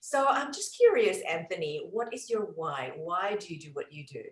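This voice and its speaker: A woman's voice: lowish voice, big pitch swings, normal speed. A middle-aged woman with an Indonesian accent hosting a casual interview and chatting as a relationship coach. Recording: roomy, echoing sound.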